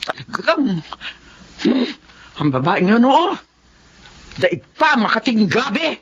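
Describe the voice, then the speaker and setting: A woman's voice: on the high side, sing-song, slow, breathy edge, full character voice. A woman playing a scornful elderly grandmother in a Filipino radio drama, exclamatory and disapproving. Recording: dull lo-fi audio.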